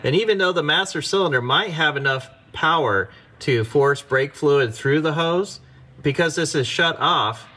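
A man's voice: a little high, normal speed, bright, forceful tone. A man narrating a car repair step by step, practical and instructive, as the host of his own workshop videos.

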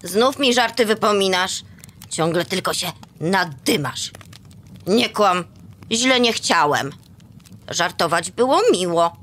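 A woman's voice: lowish voice, animated, takes it slowly, fairly bright tone, exaggerated voice. A woman voicing a restless, daydreaming young male elf in a children's audio drama. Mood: defensive. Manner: wistful, self-justifying.

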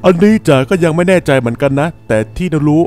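Man as a calm cartoon police sergeant. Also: on the high side, fast talker, lightly acted. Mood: cautious.

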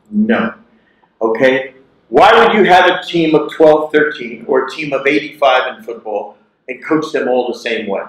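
A man in his sixties giving a motivational keynote speech through a lapel mic, emphatic and repeating his key phrases. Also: mid-range voice. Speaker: keynote speaker. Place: large lecture hall. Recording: echoey lecture hall.

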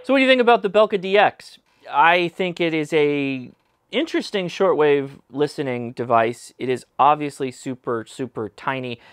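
A man's voice: a little high, normal speed, a bit bright, slight background noise. Casual man reviewing a gadget. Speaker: host, product reviewer. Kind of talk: casual hands-on review commentary. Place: small indoor workshop room.

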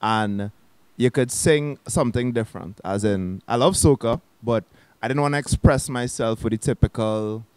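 A young Trinidadian man speaks in a relaxed, reflective way, sometimes restarting his sentences and dropping local slang. His voice sits in an ordinary middle pitch, and the sound is dry and close. He is holding a handheld mic in a small lounge set. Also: moderate pace, clean tone, no room sound. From Trinidad and Tobago. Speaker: guest musician. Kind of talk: casual interview chat.

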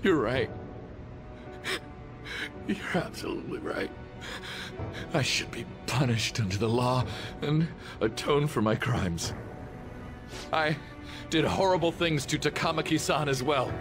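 Male actor as disgraced teacher, halting, solemn, full of remorse, game cutscene, music behind. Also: mid-range voice, lively intonation, natural voice. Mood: broken, remorseful. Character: disgraced male teacher, broken and remorseful, confessing his crimes. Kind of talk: dubbed video game drama acting.

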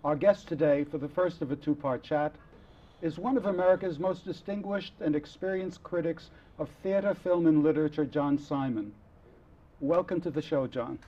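Older male TV host; raised pitch, halting admiring delivery, muffled studio sound. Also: warm tone. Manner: halting, many fillers and restarts, admiring. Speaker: TV interview host. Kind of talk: studio interview. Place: dark TV studio set. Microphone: lapel mic.

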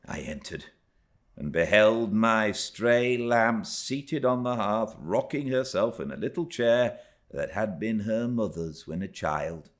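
A middle-aged British man reading a classic novel aloud as an expressive narrator. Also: on the low side, slow pace. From Britain. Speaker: audiobook narrator. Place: armchair by a fireplace in a living room.

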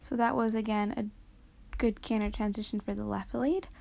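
A teenage girl from Canada talking softly over her riding footage, explaining each step with frequent hesitant ums. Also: mid-range voice, steady tone, moderate pace, vocal fry. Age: teens. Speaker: vlogger.

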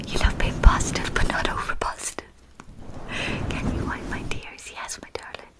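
A woman whispering softly and affectionately into a fluffy mic as an ASMR livestream host, answering her chat. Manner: soft, slow, affectionate whisper. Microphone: fluffy windscreen mic.

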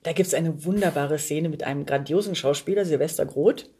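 A woman's voice: sits low, brisk, warm tone, husky. A middle-aged woman from Berlin chatting casually, telling stories in looping, unfinished sentences. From Berlin, Germany. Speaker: podcast guest, performer. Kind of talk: casual chat.

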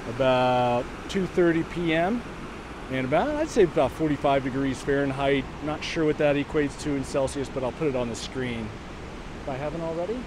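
A man's voice: medium pitch, moderate pace. A man guiding viewers on a walk up a snowy trail, chatting casually between breaths as he climbs. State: winded from walking uphill. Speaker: vlogger and virtual walk guide. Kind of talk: casual narration while hiking. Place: outdoors on a snowy trail by a waterfall. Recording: outdoor recording with loud wind and water noise.

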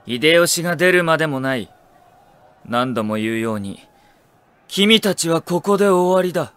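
A man's voice: a little high, expressive, moderate pace, smooth. A man playing a cool, condescending military strategist with refined, superior diction in an audio drama. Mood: cool disdain. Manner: soft-spoken condescension, commanding shouts. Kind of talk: Japanese anime-style audio drama acting.

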